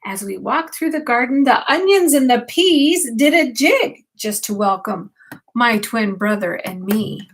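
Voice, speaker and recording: An older woman, a grandmother, reading a picture book aloud at bedtime, expressively, with gentle questions to a child. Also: a little high, lively intonation, measured pace, a little hiss or hum.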